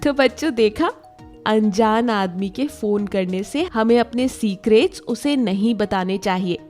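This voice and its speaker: Female storyteller for children: mid-pitched, scripted moral-telling, faint music bed. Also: a little slow, a little mellow. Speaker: narrator.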